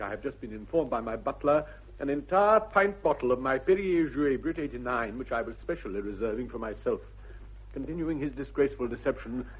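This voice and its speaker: Husky, stiff English gentleman. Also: fairly high pitch. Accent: upper-class English. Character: stiff, indignant, proper English gentleman guardian. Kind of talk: 1960s radio comedy acting. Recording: dull vintage radio recording.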